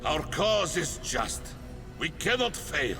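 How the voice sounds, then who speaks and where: Gravelly, slow, earnest hero. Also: male voice, a little high, sing-song, full character voice. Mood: resolute. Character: earnest, good-humored mustached male hero. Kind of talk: dramatic game cutscene acting. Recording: clear music behind.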